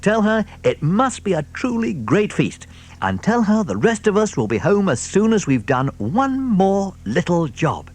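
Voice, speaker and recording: A man reading a storybook, voicing a proud, sly male fox in a high, gleeful, theatrical voice. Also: very animated, unhurried, full character voice. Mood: tender. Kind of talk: audiobook character voice.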